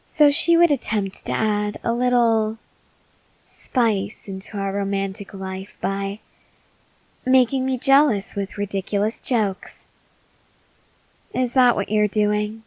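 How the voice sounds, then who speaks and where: A woman plays a possessive vampire girlfriend in an ASMR roleplay, speaking very slowly and intimately to one listener. Her mid-pitched voice is measured and quietly menacing, dipping into breathy whispers. The sound is close and dry. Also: no echo, lightly acted, rough recording. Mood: controlled, wistful. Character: possessive, softly menacing female vampire lover.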